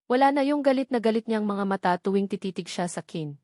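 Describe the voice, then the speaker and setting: A Filipino woman narrates a romance novel in the first person. Her voice sits at an ordinary female pitch, reading from a script. The sound is close and dry, with no room echo. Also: lively intonation, fast talker, warm tone. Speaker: audiobook narrator.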